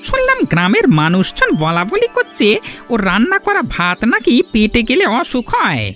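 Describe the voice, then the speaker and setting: Gossipy villager in a cartoon, super animated high voice, mocking, music under. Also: female voice, normal speed. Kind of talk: cartoon fairy-tale dialogue. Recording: music behind the voice.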